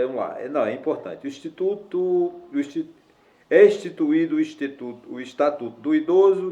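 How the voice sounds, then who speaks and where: A man's voice: a little high, dark tone, roomy sound. A man in his sixties, a lawyer giving a casual video lesson, talking in a rambling way with many fillers and self-corrections. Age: 60s. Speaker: lawyer explaining elderly law.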